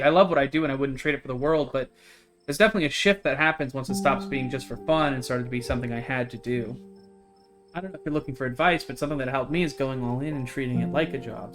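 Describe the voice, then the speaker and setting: A man's voice: medium pitch, fairly even intonation, quick pace, music in the background, lightly acted. A man voicing a friendly, chatty fellow content creator from a visual novel, in an earnest, supportive manner. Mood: earnest, advising.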